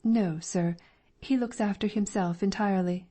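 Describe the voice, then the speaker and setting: A respectful Victorian landlady, read by a woman, who answers each question politely. The voice is dark and mellow with a breathy grain. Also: mid-range voice, normal speed, lightly acted. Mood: deferential. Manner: polite and deferential, calling him sir. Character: anxious Victorian London landlady, middle-aged. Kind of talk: audiobook dramatic reading.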